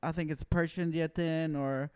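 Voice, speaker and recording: A man hosting a podcast, telling stories in a relaxed, casual way with many "like" fillers. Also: a little high, normal speed, clear, resonant tone. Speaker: podcast host. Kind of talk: casual chat.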